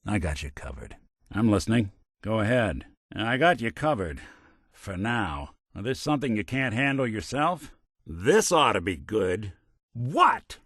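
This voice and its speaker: A man's voice: on the low side, big pitch swings, a little slow, a bit bright, no room sound, studio quality, heavily acted. A man voicing a weary, wry android private detective in a video game, world-weary and sardonic in an old-fashioned noir way. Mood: wry, ready, then irritated, cold.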